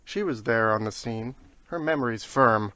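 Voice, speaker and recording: A man playing a smooth-talking tomcat prosecutor, slick and formal, in a comic rhymed radio play. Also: mid-range voice, moderate pace, bright, forceful tone, no room sound, lightly acted. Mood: accusing, confident.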